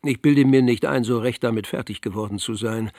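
An actor playing a jovial, self-ironic older court physician who paints, talking in blustery, flowery old-fashioned phrases in a radio drama. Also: male voice, medium pitch, fairly quick, a little mellow, no echo, studio quality, lightly acted. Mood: wry, blunt.

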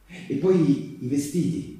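An actor playing a male wartime partisan in a theatrical monologue, speaking intimately and haltingly to a loved one, with dramatic pauses. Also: fairly high pitch, warm tone. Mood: weary, bitter. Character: male wartime partisan tenderly recalling youth in the mountains. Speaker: stage actor performing a monologue. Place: live stage performance, recorded from a distance. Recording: distant microphone in a very echoey room.

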